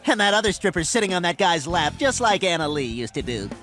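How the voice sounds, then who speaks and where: Cartoon bachelor, sleazy, crude, excitable, fairly high voice, swooping intonation. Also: male voice, fast talker, soft background music. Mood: wistful. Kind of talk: animated comedy acting.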